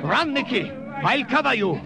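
An actor playing a resolute wounded professor in an old radio drama, speaking formally and urgently. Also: male voice, extremely high pitch, sing-song, measured pace, gravelly, a crowd in the background, full character voice. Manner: urgent, formal, clipped commands. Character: wounded, selfless older professor, resolute wartime spotter. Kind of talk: 1940s-50s radio drama acting. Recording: noisy old radio recording.

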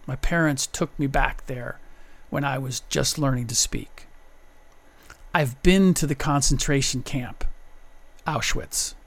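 Slow, emphatic male commentator. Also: mid-range voice. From United States. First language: German. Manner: deliberate, emphatic, builds to stressed points. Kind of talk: opinion monologue.

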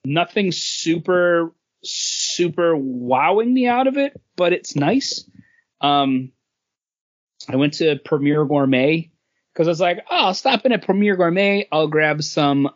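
A man with a slightly high voice chats casually as a podcast co-host. The audio is a touch dull and lo-fi. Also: big pitch swings, measured pace. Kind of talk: casual panel chat.

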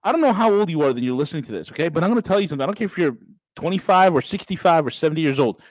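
A man's voice: a little high, animated, rapid-fire, dry recording, rough recording. A man in his forties hosting a casual political podcast, speaking straight to listeners with preachy, rising emphasis. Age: 40s. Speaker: podcast host.

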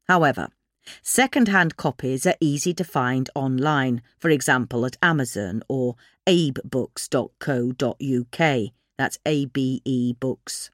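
A woman narrates a written article in a scripted reading style. Her intonation moves up and down in a lively way, and the recording is dry, with very little room sound. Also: sits low, dry recording. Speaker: reader of a magazine article.

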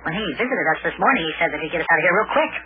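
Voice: mid-range voice, lively intonation, rapid-fire, natural voice. A performer playing an eager, boyish, mischievous lad, a detective's sidekick in an old-time radio comedy. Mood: puzzled. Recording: tinny, noisy old radio recording.

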